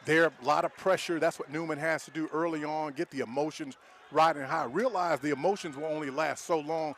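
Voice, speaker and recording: An American man with a somewhat high voice works as a football color analyst. He talks conversationally but with emphasis, breaking down the play in an opinionated way during a live broadcast. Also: fairly even intonation, brisk, fairly bright tone, slight background noise.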